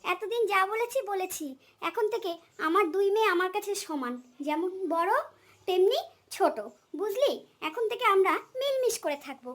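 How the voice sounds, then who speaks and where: A woman's voice: extremely high pitch, animated, normal speed. A woman voicing an older, doting mother-in-law in a comic cartoon, emotional and effusive, drawing out her endearments. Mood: tearful, happy. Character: doting older Bengali mother-in-law, scolding but soft-hearted.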